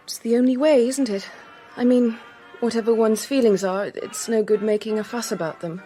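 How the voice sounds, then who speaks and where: Soft-toned, nervous young British woman played by actress. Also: fairly high pitch, steady tone, moderate pace, faint music behind, lightly acted. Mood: anxious, sensible. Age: young adult. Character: quiet, sensible, nervous young English cousin. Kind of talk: radio drama acting.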